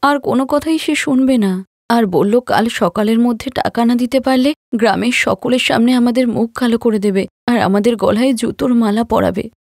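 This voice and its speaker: A woman's voice: on the high side, normal speed, darkish tone, no echo, clean studio sound. A woman voicing an anxious, loving poor village wife and mother in a cartoon moral story. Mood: worried. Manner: plaintive, earnest.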